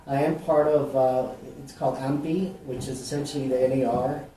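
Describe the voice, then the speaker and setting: Mexican-born man, relaxed and rambling. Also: medium pitch, fairly quick, a little hiss or hum. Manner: relaxed, rambling with many fillers and restarts. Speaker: realtor. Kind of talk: casual interview answers. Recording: roomy, echoey, mic at a distance.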